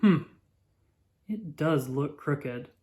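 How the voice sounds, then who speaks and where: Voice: mid-range voice, animated, extremely slow, mellow. A storybook narrator voicing a practical, playful dad with a plain, reassuring manner. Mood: calm, reassuring.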